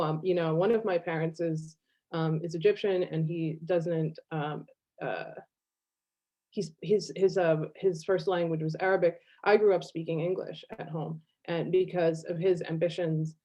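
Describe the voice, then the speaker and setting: A woman speaking casually on a video call, animated, laughing often and using lots of fillers. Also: fairly low pitch. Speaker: guest artist. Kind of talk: casual online conversation. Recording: slightly dull video call audio.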